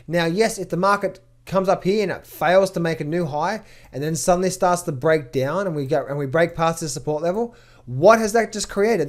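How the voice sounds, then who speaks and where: A man working as a trading coach gives an emphatic market update over charts, firing rhetorical questions, answering them himself and repeating words in quick bursts. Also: fairly high pitch.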